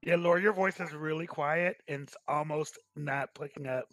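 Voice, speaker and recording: A man talks casually with friends on an online voice call. His voice sits a little high for a man, and the sound is dry, with little room in it. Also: moderate pace, fairly bright tone, dry recording. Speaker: participant in online staged reading. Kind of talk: casual chat.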